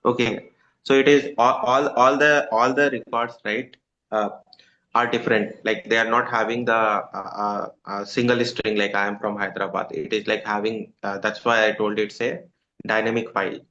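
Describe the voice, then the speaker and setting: A man explaining a question in a casual group discussion, halting and repeating words. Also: fairly low pitch, steady tone, pushed and bright, a little hiss or hum. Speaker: forum participant asking questions. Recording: dull lo-fi call audio.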